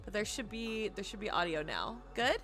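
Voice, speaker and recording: A woman livestreaming a visual novel, switching between playful, chatty asides and expressive read-aloud narration. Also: mid-range voice, moderate pace. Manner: playful, chatty, self-deprecating. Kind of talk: casual stream chat mixed with expressive read-aloud narration. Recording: music playing behind the voice. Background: game music.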